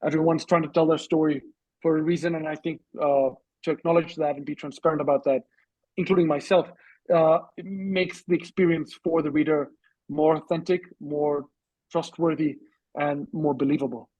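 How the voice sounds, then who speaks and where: A man with a husky voice giving measured, reflective, slightly hesitant answers as a guest in an online discussion. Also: a little high, fairly even intonation, a little mellow, dry recording, lo-fi sound. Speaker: interview guest, author and journalist. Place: online video call.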